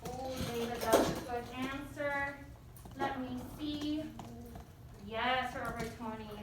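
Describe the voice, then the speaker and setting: High-voiced woman teacher, echoey and distant. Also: fairly even intonation, slow pace. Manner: encouraging, prompting with questions. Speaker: elementary school teacher. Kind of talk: interactive read-aloud lesson to children. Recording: echoey, noisy, distant mic.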